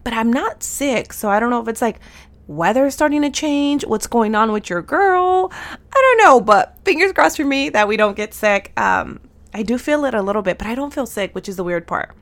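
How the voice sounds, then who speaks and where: A woman in her thirties hosting a solo podcast, bubbly and affirming, her voice slightly nasal and raspy today. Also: a little high, expressive, normal speed. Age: 30s. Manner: bubbly, affirming, with slang and laughs. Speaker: podcast host and soul mentor. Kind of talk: upbeat solo podcast talk.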